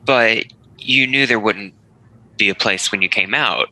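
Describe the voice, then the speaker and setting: An actor playing a guarded, awkward male pastor with a hesitant, halting delivery in a script table read. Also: lowish voice, bright, forceful tone, heavily acted, low-quality recording. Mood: defensive, uneasy.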